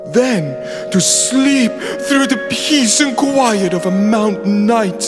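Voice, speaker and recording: An exuberant, boastful father bear acted out by a man holds forth in a children's audio play. He declaims slowly and grandly, with big swoops in pitch and emphatic stresses. Music plays clearly behind him. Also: expressive. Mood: dreamy, enthusiastic.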